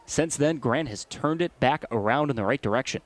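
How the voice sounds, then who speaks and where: An American man calling baseball play-by-play as a broadcaster, animated and given to shouting on big plays. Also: mid-range voice, a little fast. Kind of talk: live sports play-by-play commentary.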